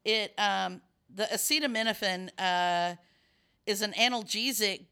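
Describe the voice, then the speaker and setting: A woman's voice: medium pitch, a little slow, sharp tone, clean tone. A middle-aged woman with a scratchy voice, hosting a casual chat and explaining at length with many restarts and fillers.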